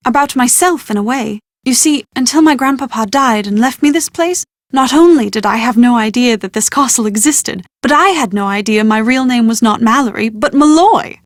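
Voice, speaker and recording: An actress as a young British woman in an adventure game, high-voiced and posh. She is chatty and easily exasperated, with an anxious streak. Her wordy, expressive lines come through close and dry. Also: moderate pace, hardly any pauses, no echo, close to an everyday voice. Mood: confiding, earnest. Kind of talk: scripted video game character dialogue.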